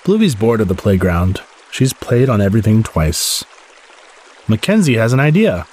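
Husky, animated male storybook reader. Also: fairly low pitch, moderate pace. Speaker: story podcast host and reader. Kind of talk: expressive read-aloud storybook narration for children.

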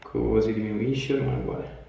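A man's voice: mid-range voice, steady tone, a little fast, gravelly, dark tone. Echoey, distant man giving instructions. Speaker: chiropractor. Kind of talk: calm hands-on instructions to a patient. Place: small bare treatment room, indoors. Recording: echoey room, distant mic, faint noise.

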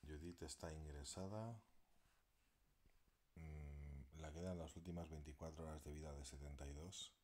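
Deep-voiced man rambling to followers. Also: normal speed, a little mellow. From Spain. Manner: rambling, thinking aloud while working a device, at times muttering half-whispered. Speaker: alternative healer. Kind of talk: casual live video talk to followers.

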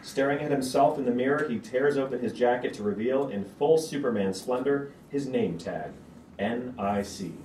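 A man's voice: medium pitch, moderate pace, a little mellow. A man reading screenplay stage directions aloud as narrator at a table read. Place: stage of a small auditorium. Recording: roomy auditorium, distant mic, background noise.

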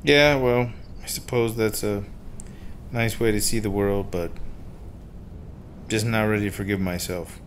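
A man's voice: on the low side, fairly even intonation, a little slow, pauses often, a little hiss or hum, close to an everyday voice. A man acting in a radio drama as an uneasy, pleading man in trouble who talks in a casual, slangy, hesitant way. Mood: resigned. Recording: music under the voice.

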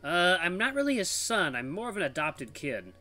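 A man's voice: high voice, sing-song, moderate pace, pushed and bright, soft background music, voice pushed up, exaggerated voice. A man voicing a modest, hesitant young ice cream trainee in a visual novel read-aloud. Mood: casual.

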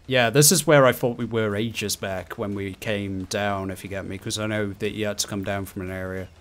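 A man's voice: fairly low pitch, steady tone, normal speed. A man chatting casually while playing a co-op video game, teasing in a dry, mock-serious way. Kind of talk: casual gaming chat.

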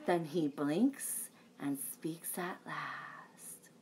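A woman in her thirties, a children's librarian, reading a picture book and leading a sing-along for young children in a lively storytime manner. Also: low-pitched, extremely slow, slight background noise. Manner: bright, animated storytime voice. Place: home room with a bookshelf backdrop.

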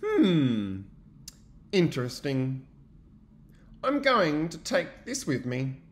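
A man acting as a storybook bear, curious, hungry, high-pitched, drawn-out delivery. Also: wildly swooping pitch, heavily acted. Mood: puzzled, curious.

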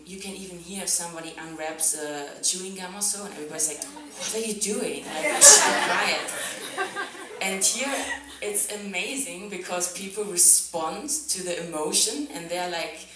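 A young woman from Germany, a non-native English speaker, talking thoughtfully about her art on stage at a festival, with lots of "like" and "um" fillers. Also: on the low side. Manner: thoughtful, many "like" and "um" fillers, laughs often. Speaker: festival artist guest. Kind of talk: artist's talk, casual reflection. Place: theatre stage on a sofa before an audience. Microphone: handheld mic. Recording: very echoey hall, speaker far from the camera.

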